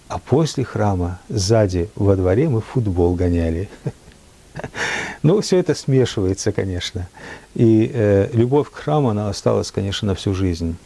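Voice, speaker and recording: An older man from France reminiscing in a reflective, unhurried way, often pausing with hesitations. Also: on the low side, warm tone. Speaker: speaker at a memorial. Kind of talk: reminiscing talk.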